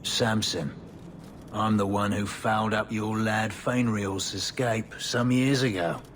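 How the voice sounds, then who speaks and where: An actor playing a jaded, sardonic, down-and-out former knight in a video game, with wry colloquial talk. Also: male voice, lowish voice, fairly even intonation, slow pace, a little hiss or hum. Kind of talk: dramatic video game dialogue.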